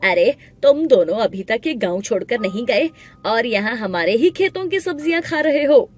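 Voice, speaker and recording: A woman voicing a greedy, bullying village man in an animated moral story, loud and accusing. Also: a little high, normal speed. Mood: angry, scolding. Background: background music.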